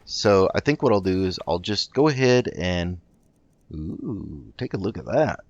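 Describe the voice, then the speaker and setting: A man doing casual game commentary, thinking aloud in an unhurried, musing way as he roleplays. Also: deep voice, animated, muffled recording. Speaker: gaming host.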